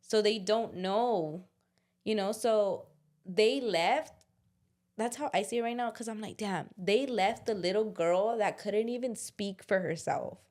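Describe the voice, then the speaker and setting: A woman's voice: mid-range voice, measured pace, darkish tone. Candid young woman podcast co-host. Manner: casual, slangy, confessional, drops into quoted inner voices. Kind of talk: candid casual chat.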